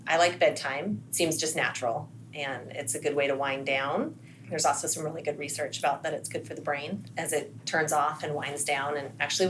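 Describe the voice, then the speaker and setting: A woman with a notably low-pitched voice gives advice as a podcast guest. Her delivery is conversational and explanatory, like a school administrator talking to parents, recorded with faint background noise. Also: fairly bright tone.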